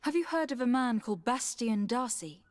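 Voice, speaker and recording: A woman's voice: a little high, animated, moderate pace, no echo, lightly acted. A woman playing a composed, assertive young noblewoman diplomat in a video game, speaking in a polite, formal and firm way. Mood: polite, probing. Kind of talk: video game dialogue acting.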